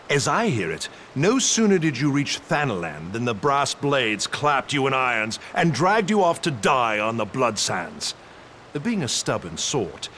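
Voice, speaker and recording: An actor playing a proud, gracious old male knight captain in a game cutscene, speaking in a formal, measured way. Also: medium pitch, fairly bright tone, a little hiss or hum. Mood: admiring. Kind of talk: video game cutscene voice acting.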